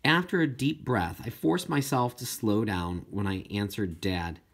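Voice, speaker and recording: A man reading a first-person children's novel as an expressive audiobook narrator. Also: lowish voice, measured pace. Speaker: audiobook reader.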